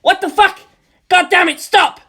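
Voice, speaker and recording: A woman voicing an excitable male engineer and former pilot. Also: very high-pitched, measured pace, voice pushed up, heavily acted. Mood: panicked. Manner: excited, loud, panicky.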